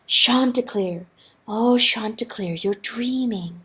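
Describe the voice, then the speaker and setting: Woman as a gentle, soft-spoken hen wife. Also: fairly high pitch, takes it slowly, breathy edge, exaggerated voice. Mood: soothing concern.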